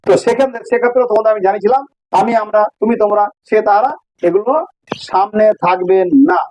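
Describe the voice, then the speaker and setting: High-pitched Bengali male teacher. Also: fairly even intonation, fast talker, darkish tone, a little hiss or hum, poor audio. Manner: didactic, repeats phrases for emphasis. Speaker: English grammar teacher. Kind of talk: informal grammar lesson, explaining with examples.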